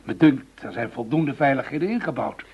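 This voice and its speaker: A measured senior official in a radio play, with a mid-pitched, gravelly voice. He is businesslike and conciliatory. The recording sounds dull. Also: lively intonation. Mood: calm, firm. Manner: formal, businesslike, diplomatic. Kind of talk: Dutch radio play acting.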